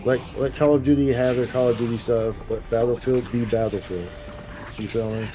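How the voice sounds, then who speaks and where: A man's voice: medium pitch, fairly even intonation, normal speed, over music. A man chatting casually and slangily as he streams games, often repeating phrases for emphasis. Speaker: game streamer, host. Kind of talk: casual livestream chat while gaming.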